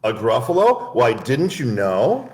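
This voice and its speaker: A man's voice: huge pitch swings, a little slow. A man voicing a small, clever brown mouse from a storybook, in a raised voice. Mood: bold. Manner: bright storybook voice, raised pitch. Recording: echoey room.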